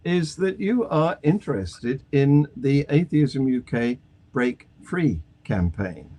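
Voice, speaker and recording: Slow, lively-voiced male host. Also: mid-range voice. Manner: self-deprecating, wry, chuckling. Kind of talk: casual chat, hosting.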